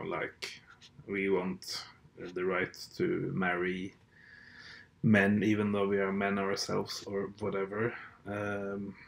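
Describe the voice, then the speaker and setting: A native Norwegian man hosts a casual solo talk, his voice low and quite monotone. He speaks slowly and hesitantly, piling up fillers and restarts and clearing his throat now and then. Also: low-quality recording. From Norway. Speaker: podcast host. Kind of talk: casual monologue.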